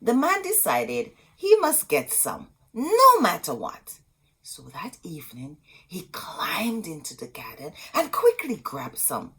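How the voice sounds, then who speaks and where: Slow, animated woman reading to children. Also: a little high, vocal fry, breathy edge. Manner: expressive, addressing young children. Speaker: children's storytime host and reader. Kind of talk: children's storybook read-aloud with asides.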